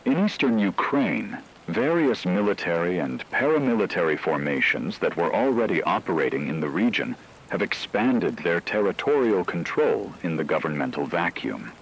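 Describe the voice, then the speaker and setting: A low-pitched man acting as a grave radio newsreader delivers scripted bulletins on a fictional emergency broadcast. He is formal, measured and solemn, with a light bed of noise and rain behind him. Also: big pitch swings, poor audio. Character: grave male radio newsreader reporting global collapse. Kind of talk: scripted news narration.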